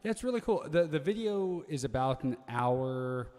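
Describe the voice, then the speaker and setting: A man co-hosting a music livestream from home, chatting in a relaxed, easygoing way that often trails off. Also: mid-range voice, moderate pace. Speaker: duo partner and co-host. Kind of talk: casual chat between songs. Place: home studio set. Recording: echoey room with long reverb.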